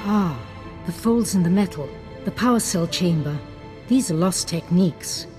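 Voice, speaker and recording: A woman's voice: fairly low pitch, normal speed, music in the background, natural voice. A woman playing a scholarly, single-minded Jedi master in a video game, earnest and instructive. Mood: intent. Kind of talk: video game dialogue acting.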